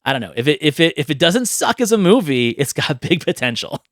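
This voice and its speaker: A man's voice: a little high, very animated, a little fast, dry recording, studio quality. A man hosting a podcast, chatting casually and enthusiastically in a rambling way. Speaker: podcast host. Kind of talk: casual chat about movie ideas.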